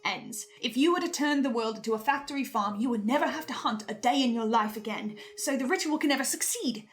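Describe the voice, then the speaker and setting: A woman hosting earnest theory commentary, speaking deliberately with dramatic pauses and stammered repeats. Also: a little high. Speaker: host presenting theories on a horror podcast.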